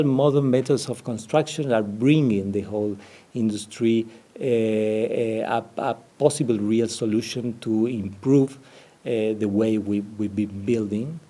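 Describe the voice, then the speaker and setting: A man's voice: medium pitch, warm tone. A man from Argentina with an Argentine Spanish accent, a business developer answering interview questions, often searching for words.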